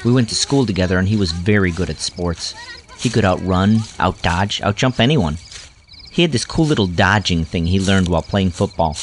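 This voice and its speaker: A man's voice: low-pitched, expressive, normal speed, slight background noise. A man reading scripted first-person story narration in a reminiscing style.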